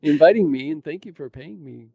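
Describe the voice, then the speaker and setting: Higher-pitched man in dry, casual chat. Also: lively intonation, a little fast, gravelly, warm tone, husky, no echo. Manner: informal, conversational. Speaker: podcast co-host, writer. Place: small room at home, indoors. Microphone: close studio mic on boom arm.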